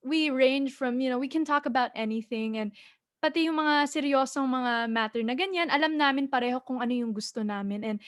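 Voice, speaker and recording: Bright high-voiced young woman singer. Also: fast, no echo, clean studio sound. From United States. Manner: animated, mixes English and Tagalog, laughs often. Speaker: singer-songwriter guest. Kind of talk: press launch interview.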